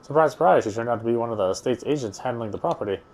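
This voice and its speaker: Male let's player, medium pitch, reading visual novel narration with offhand commentary. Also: animated, racing, darkish tone. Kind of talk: read-aloud narration with casual asides.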